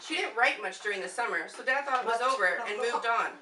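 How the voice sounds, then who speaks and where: An American woman reads a homemade puppet show script to her relatives. Her voice sits fairly high. It comes from some distance away in an echoey room with clear background noise. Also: fast, bright, forceful tone. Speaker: puppet show narrator at a family reunion. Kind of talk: scripted storytelling, read aloud to relatives. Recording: echoey, noisy room recorded from far off.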